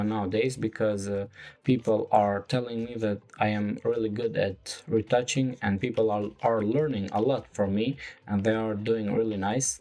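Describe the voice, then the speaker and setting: A man with a lowish voice, speaking English as a second language, walking through a retouching tutorial. He talks in a slow, halting way, full of fillers. Faint music plays softly underneath. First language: not English. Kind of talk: screen-recorded software tutorial.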